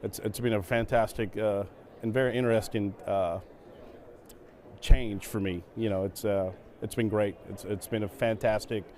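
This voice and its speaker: A middle-aged man from Venezuela, a native Spanish speaker, answering questions on a conference stage in a relaxed way, with many fillers and repeated words. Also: on the low side, normal speed, a little hiss or hum. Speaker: guest, operations executive.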